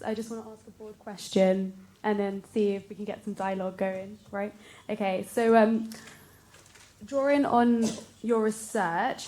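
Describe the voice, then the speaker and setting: A woman moderates a conference panel in a spontaneous way. Her voice sits at a middle pitch as she addresses the speakers and audience. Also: a little mellow. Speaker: panel chair at a student conference.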